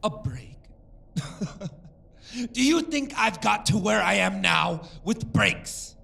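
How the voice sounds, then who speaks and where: A man acts as a harsh, unforgiving father in an audio drama. His voice is high for a man and very gravelly, swinging widely as he scolds with contempt. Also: big pitch swings, extremely slow, pushed and bright, exaggerated voice. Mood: scornful anger. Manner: contemptuous, accusatory. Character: harsh, domineering grown father, scornful and unforgiving.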